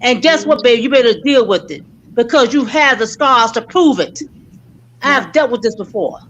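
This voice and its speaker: A woman's voice: on the high side, lively intonation, normal speed, fairly bright tone, a little hiss or hum. A middle-aged woman in casual group chat, lecturing insistently and repeating herself to drive a point home. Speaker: viewing-party panelist. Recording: slightly dull lo-fi stream audio.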